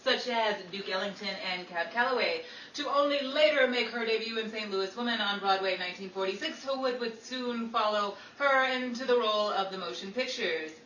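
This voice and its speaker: A woman's voice: fairly high pitch, brisk, pushed and bright, dull audio. A woman playing a showy 1940s Hollywood radio newscaster, reading scripted trivia in a theatrical style. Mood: presentational. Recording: roomy, distant mic with faint noise.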